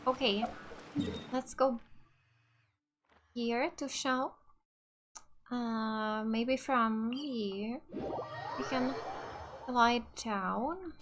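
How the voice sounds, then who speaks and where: A woman's voice: on the high side, drawn-out words, pauses often, fairly bright tone, a little hiss or hum. A woman from Finland, a native Finnish speaker, giving casual let's play commentary in an offhand way. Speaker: gaming video host.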